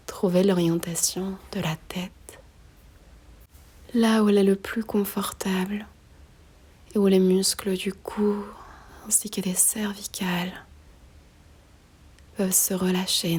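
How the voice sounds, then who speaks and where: A woman guiding a slow meditation in a soft, breathy near-whisper, gently giving unhurried instructions with long pauses. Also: mid-range voice, little pitch movement. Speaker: yoga nidra guide.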